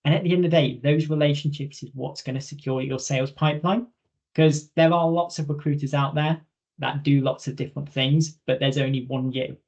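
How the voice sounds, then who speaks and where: A man's voice: on the high side, steady tone, a little fast, a little mellow, highs cut off. A man presenting a training webinar in an informal, direct, conversational way, emphasising points through repetition.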